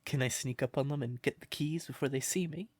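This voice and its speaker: A man playing a shy, sweet, curious crow turned human in a roleplay audio, speaking gently and breathily with hesitant stammers. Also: mid-range voice, fairly quick, dry recording, close to an everyday voice. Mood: playful, proud. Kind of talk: soft roleplay audio, intimate character acting.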